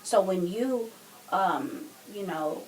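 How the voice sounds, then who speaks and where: A woman's voice: medium pitch, expressive, slow, low-quality recording. A woman in a casual podcast chat, earnestly explaining her views. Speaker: podcast co-speaker.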